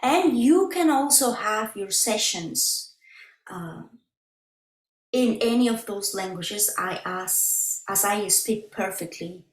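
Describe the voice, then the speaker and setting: A woman whose first language is Spanish, walking through her website on a screen share in slow, halting English with many fillers and restarts. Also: mid-range voice, lively intonation, reverberant, poor audio. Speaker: crystal healer presenting her website.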